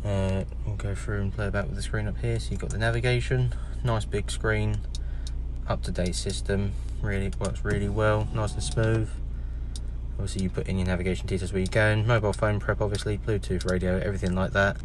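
A man's voice: on the low side, a little fast. A man with an English accent, casually narrating a walkaround of a car on a dealer forecourt while filming on his phone. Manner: plain, relaxed commentary with repeated fillers. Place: outdoors on a used car forecourt, then inside the car. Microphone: phone camera held by the speaker. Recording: phone video with clear background noise.

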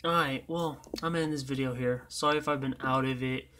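A young man with a hoarse, worn-out voice, chatting over his own gameplay. He rambles and trails off in a tired, easygoing way. Casual commentary with a loose, unscripted feel. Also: mid-range voice, steady tone, fairly quick, pushed and bright, slight background noise. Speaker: gaming channel host.

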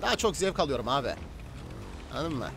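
A man's voice: on the high side, big pitch swings, fast, a loud crowd. A man chatting casually and slangily as he streams a roleplay game. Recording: clear car engine noise behind. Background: game car engines and street sounds.

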